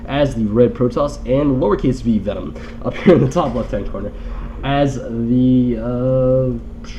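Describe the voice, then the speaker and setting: A man's voice: mid-range voice, a little slow, mellow, lo-fi sound, slight background noise. A man with a nasal, congested voice from allergies gives casual live game commentary with wry, rambling, joking asides. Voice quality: nasal, stuffed-up.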